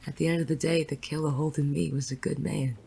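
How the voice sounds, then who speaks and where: An actor playing a gruff, wry, smitten male private detective in a noir audio drama, with teasing, plain-spoken tough-guy phrasing. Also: mid-range voice, fairly even intonation, fast, dark tone, rough recording. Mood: tender, brooding. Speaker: narrator and lead character. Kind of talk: noir audio drama, first-person narration and banter.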